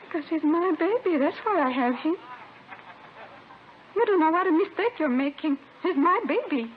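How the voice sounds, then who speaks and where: A thin, noisy old radio recording of a desperate wife. Her voice sits high, and she pleads and insists in short phrases, in the manner of fifties crime drama. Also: animated, normal speed, narrow, tinny audio. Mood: insistent denial. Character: desperate young wife clinging to a stolen baby. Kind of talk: 1950s radio drama acting.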